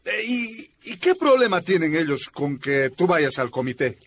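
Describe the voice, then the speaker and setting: A man playing an easygoing male miner husband in a radio drama, relaxed and agreeable. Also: a little high, moderate pace, slight background noise. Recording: music playing underneath.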